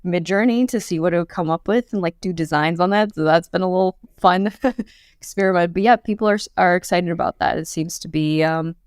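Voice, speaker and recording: A young adult woman as a guest on a podcast interview, talking casually with lots of fillers and quick laughs. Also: on the low side, smooth, dry recording, studio quality. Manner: chatty, many fillers, laughs often. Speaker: guest, quantum hardware startup.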